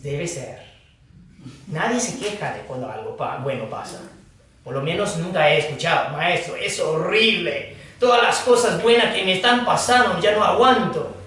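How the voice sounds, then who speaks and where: A man speaks in slow, halting phrases, teaching the audience as a Buddhist teacher. His voice sits fairly high, and he hesitates often between ideas. The sound comes from a distance and rings through a very echoey hall, with faint noise behind it. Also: expressive, sharp tone. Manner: slow, halting, with pauses and fillers. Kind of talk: dharma talk. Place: hall of a foundation. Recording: echoey room, distant mic.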